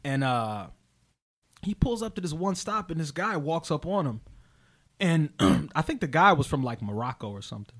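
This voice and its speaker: Young guy hosting, tells stories loud and animated, does voices for everyone, high and jumpy. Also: normal speed. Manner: animated, acts out quotes in different voices. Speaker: podcast host. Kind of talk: casual storytelling chat.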